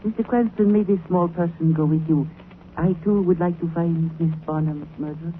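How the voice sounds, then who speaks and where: High-voiced scheming villain played by a male actor, stilted broken English, oily courtesy, thin scratchy vintage radio sound. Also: fairly even intonation, fairly quick, phone-quality sound, lightly acted. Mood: ingratiating. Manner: stilted, clipped broken English, over-polite. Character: scheming, falsely polite foreign antiques-dealing villain. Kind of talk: 1940s radio drama acting. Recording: scratchy, band-limited 1940s radio recording.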